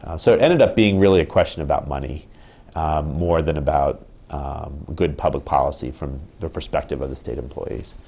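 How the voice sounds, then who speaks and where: A man in his thirties speaking as a union representative in an interview, measured and thoughtful, with frequent ums and restarts. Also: very deep voice, creaky, warm tone, slightly rough. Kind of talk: interview answers. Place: indoor office with wood paneling.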